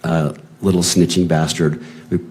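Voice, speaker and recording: Male witness reading a message exchange into the record, very low and mellow, no acting. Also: level tone, normal speed. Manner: plain, unacted reading with attributions. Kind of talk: reading written messages aloud, hearing testimony.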